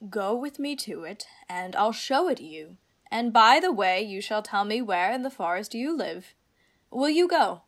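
A woman acting in a Shakespearean radio play as a witty young heroine disguised as a teasing youth, with a deliberate delivery. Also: mid-range voice, expressive. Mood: coaxing.

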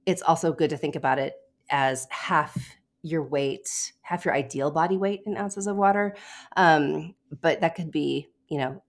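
A woman hosting a podcast with a head cold that makes her voice nasal, talking casually and encouragingly with the odd light laugh. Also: low voice. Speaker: podcast host and nutrition coach. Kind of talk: casual solo podcast advice.

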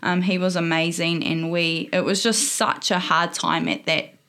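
A woman's voice: fairly low pitch, fairly even intonation, moderate pace, fairly bright tone. A woman in her thirties from the Eastern Bay of Plenty with a New Zealand accent, chatting casually as an interview guest with many ums. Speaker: netball player guest.

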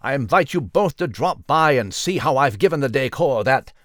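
A man playing a genteel, pompous, cheerful little gentleman mouse in a children's audio drama, with a British accent and flowery manners. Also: medium pitch, lively intonation, moderate pace, no echo, clean studio sound, natural voice. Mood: jolly, polite. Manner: flowery, old-fashioned politeness.

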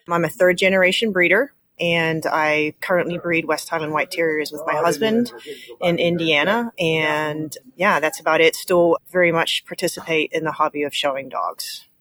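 A woman's voice: low-pitched, fairly even intonation, moderate pace, a bit bright. A woman from New Zealand answering conversationally as a podcast interview guest. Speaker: podcast guest, executive director.

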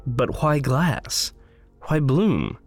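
An actor playing an earnest, guilt-driven young man in an audio drama, questioning and a little halting. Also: medium pitch, big pitch swings, dry recording, faint music behind, heavily acted. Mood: skeptical.

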